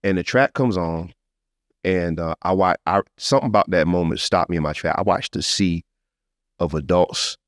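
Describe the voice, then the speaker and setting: A middle-aged American man hosting a podcast, telling stories in a casual, slangy, animated conversational way. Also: sits low, moderate pace, no room sound, studio quality. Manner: animated storyteller with slangy asides. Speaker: podcast host.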